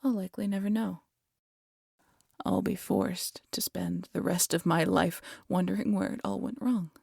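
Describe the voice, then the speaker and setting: A woman playing a proud, vengeful demon queen in an audio roleplay, theatrical and menacing, often dropping to a breathy hush. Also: mid-range voice, steady tone, normal speed, dry recording, clean studio sound. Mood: bitter resignation. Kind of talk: scripted audio roleplay addressed to listener.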